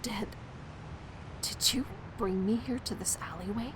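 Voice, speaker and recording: An actress playing a guarded, snarky young heiress in an audio drama, wary and sardonic. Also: female voice, medium pitch, measured pace, noisy background, close to an everyday voice.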